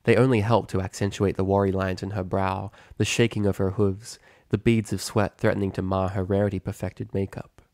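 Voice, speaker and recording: A man's voice: low-pitched, flat delivery, fairly quick, few pauses, a little mellow, dry recording, studio quality. A man reading a story aloud as a scripted narrator. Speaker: fan fiction reader.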